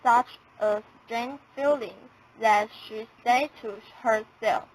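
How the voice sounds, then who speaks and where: A woman's voice: a little high, steady tone, takes it slowly, rough recording. A young woman narrating a fairy tale from a script.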